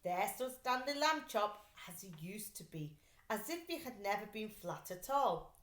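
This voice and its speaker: A woman reading a children's storybook aloud like a teacher, in an expressive, deliberate way. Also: medium pitch. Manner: animated, deliberate storytelling delivery. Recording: roomy, echoey sound.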